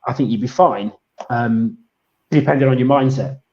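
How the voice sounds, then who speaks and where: A man co-hosting a casual football podcast, speaking in a thoughtful, measured way. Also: mid-range voice, a little mellow. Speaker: podcast co-host. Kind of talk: casual chat. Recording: dull, roomy podcast audio.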